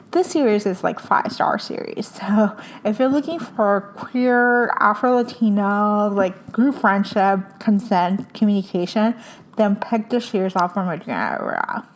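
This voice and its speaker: A young woman from hosts a casual podcast, her Spanish. She talks eagerly, full of fillers and little restarts, at an ordinary mid pitch for a woman. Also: darkish tone. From Puerto Rico. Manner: enthusiastic, chatty, many fillers. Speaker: podcast host. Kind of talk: casual book recommendations.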